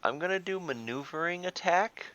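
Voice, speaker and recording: A man's voice: high voice, animated, moderate pace, sharp tone, muffled recording, a little hiss or hum. A man chatting casually as a player in an online tabletop game. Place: online voice call.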